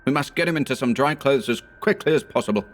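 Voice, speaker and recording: A man's voice: mid-range voice, fast talker, voice pushed up, close to an everyday voice. A man voicing a grim, determined companion who speaks urgently and commandingly, in a horror story reading.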